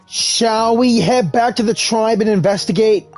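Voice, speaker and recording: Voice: mid-range voice, a little slow, a little mellow, dry recording, soft background music, natural voice. A voice actor playing a brash, blunt young companion fighter in a game cutscene. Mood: questioning.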